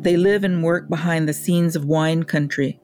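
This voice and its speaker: A woman journalist narrating a documentary report in a measured, clear way. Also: deep voice, fairly even intonation, over music.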